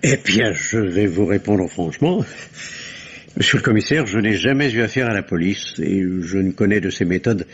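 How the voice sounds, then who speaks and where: An actor playing a doctor questioned by the police, who speaks in a hesitant, guarded way, in the manner of a 1950s radio drama. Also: male voice, fairly low pitch, expressive, normal speed, husky. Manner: hesitant, guarded, then confessional. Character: nervous doctor questioned as a suspect, man. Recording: dull old radio recording.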